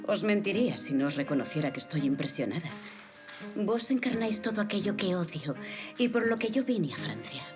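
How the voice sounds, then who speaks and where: A woman's voice: on the low side, very animated, fairly quick, over music. An actress playing a defiant, idealistic woman writer in a period audio drama, speaking formally and with passion. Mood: impressed, disdainful. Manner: impassioned, formal archaic phrasing.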